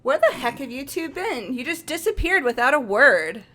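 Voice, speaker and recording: A woman playing a blunt, excitable teenage schoolgirl in a fan-dubbed game. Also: high voice, normal speed, faint music behind, heavily acted. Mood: scolding. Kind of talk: fan-dubbed visual novel game acting.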